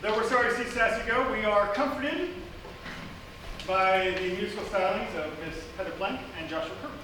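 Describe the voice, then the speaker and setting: A man's voice: high voice, normal speed, close to an everyday voice. A man playing a pompous, dryly comic radio serial narrator on a live stage. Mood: announcing. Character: dramatic serial announcer with dry comic pomp. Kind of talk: scripted radio serial narration before an audience. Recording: echoey live theatre, distant mic, noisy.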